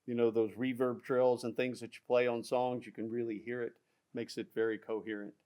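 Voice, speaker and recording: A man explaining loudspeaker designs in a technical product discussion, halting with fillers and restarts. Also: medium pitch, level tone. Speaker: audio product specialist.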